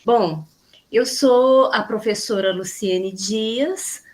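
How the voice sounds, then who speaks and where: A Brazilian woman anthropology professor introducing herself on a video call, measured and full of pauses. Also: on the high side, darkish tone. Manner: slow, measured, many hesitations.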